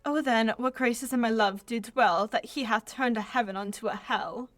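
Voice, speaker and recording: A woman's voice: on the high side, no room sound, soft background music, close to an everyday voice. A young woman playing a devoted young Athenian lady in love, reciting Shakespearean verse earnestly in a radio play. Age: young adult. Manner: earnest, measured verse delivery.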